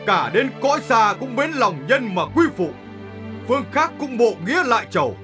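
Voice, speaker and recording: A commanding middle-aged emperor from an animated historical drama. His declamatory voice is bright and forceful, swinging widely in pitch. Faint music plays behind him. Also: moderate pace, full character voice. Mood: rousing, proclaiming. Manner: stately, declamatory. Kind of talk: animated historical drama acting, formal oratory.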